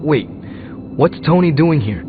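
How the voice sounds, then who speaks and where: A man's voice: on the high side, lively intonation, measured pace. An actor playing a wary, casual young male mechanic hero in a video game, sceptical but easygoing and informal. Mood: puzzled, hushed. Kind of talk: video game dialogue acting. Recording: muffled band-limited game audio, music and noise.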